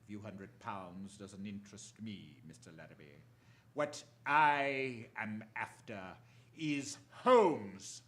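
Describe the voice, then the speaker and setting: An actor playing a commanding, menacing crime boss, imperious and deliberate in a theatrical radio drama style. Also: male voice, on the low side, lively intonation, fairly bright tone. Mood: coldly menacing.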